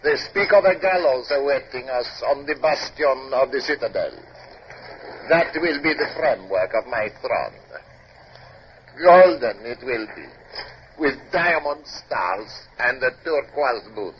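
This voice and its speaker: An actor playing a deranged, imperious fallen despot who thinks himself a god, in grandiose 1950s radio drama style. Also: male voice, medium pitch, animated, unhurried, natural voice. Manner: grandiose, raving, theatrical. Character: deranged imperious deposed rebel despot in chains, self-proclaimed god. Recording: muffled old radio broadcast, faint hiss.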